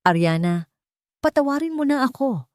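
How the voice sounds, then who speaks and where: A woman voicing a drunk, manipulative ex-boyfriend in an audio romance story, loud, desperate and demanding. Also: high voice, very animated, moderate pace, no room sound, studio quality, voice pushed up. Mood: fake pleading. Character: drunk, menacing, manipulative ex-boyfriend, begging then raging.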